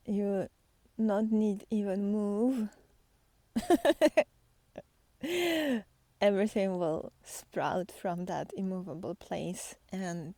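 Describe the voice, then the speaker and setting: A woman giving an intimate, spontaneous spiritual talk as a guide, halting and tender, sometimes softening toward a whisper. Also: mid-range voice, no echo. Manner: tender, halting, with long pauses, sighs and soft laughs. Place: outdoors in nature, walking by foggy fields.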